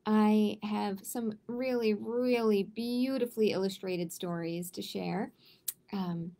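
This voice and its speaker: A woman hosting a live picture-book storytime for children, speaking to viewers in simple, deliberate phrases. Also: medium pitch, darkish tone.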